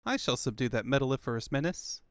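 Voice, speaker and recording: A man's voice: mid-range voice, lively intonation, fast talker, no echo, clean studio sound, natural voice. Man voicing a pompous little genius fox boy. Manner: pompous, wordy, pedantic. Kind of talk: comic fandub character acting.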